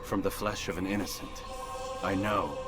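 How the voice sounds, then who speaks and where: An actor playing a proud, defiant young assassin in a game cutscene, speaking curtly. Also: male voice, low voice, steady tone, moderate pace, natural voice. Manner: curt, defiant. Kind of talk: dramatic game cutscene acting. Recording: music loud behind the voice.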